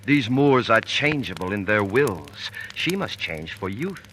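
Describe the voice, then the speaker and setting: An actor playing a two-faced military ensign, a sly, insistent villain, in old radio Shakespeare style. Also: male voice, fairly low pitch, very animated, measured pace, fairly bright tone, a little hiss or hum, poor audio. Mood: sly goading. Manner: sly, insistent, theatrical cajoling. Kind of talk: 1940s radio Shakespeare acting. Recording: old radio broadcast with music.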